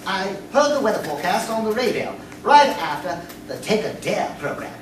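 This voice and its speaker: A woman's voice: medium pitch, big pitch swings, moderate pace, close to an everyday voice. A woman playing a naive, muddled, earnest boyish male castaway in a staged comic radio play. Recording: echoey room, distant mic, faint noise.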